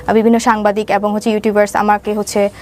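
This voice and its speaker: A woman's voice: mid-range voice, flat delivery, quick pace, a little mellow, slight background noise. A young woman with a Bangladeshi accent telling a personal story as an interview guest, hesitant and leaning on filler phrases. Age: young adult.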